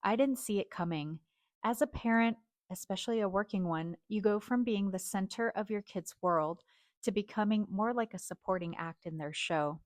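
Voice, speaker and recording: Female host, forties, native Chinese speaker, measured reflective monologue, mid-pitched voice. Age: 40s.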